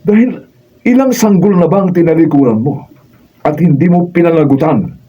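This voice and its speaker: A Filipino man acting a level-headed, reproachful man with a husky voice in a radio drama. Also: a little high, normal speed, dull audio.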